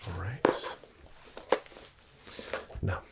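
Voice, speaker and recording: A man doing a soft-spoken ASMR doctor roleplay, talking slowly in a gentle half-whisper with hesitant fillers. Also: background noise.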